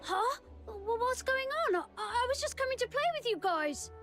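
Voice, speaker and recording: A woman's voice: extremely high pitch, animated, moderate pace. A woman voicing a mischievous, brash young street kid in a video game, with a childlike voice. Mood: surprised. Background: background music.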